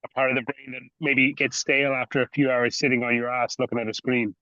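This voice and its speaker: An Irish man speaks at a middling pitch as a podcast interviewer, chatting conversationally. The recording is very dry and slightly dull, with a lo-fi edge. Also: level tone, fast, bright, forceful tone, no echo. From Ireland.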